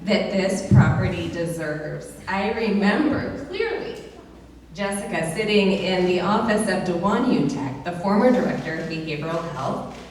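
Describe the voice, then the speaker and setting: A woman with a big voice giving a lively speech at a ribbon-cutting ceremony, rousing the crowd. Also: fairly low pitch, normal speed, a little hiss or hum. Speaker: behavioral health official giving a speech. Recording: distant echoey outdoor PA sound.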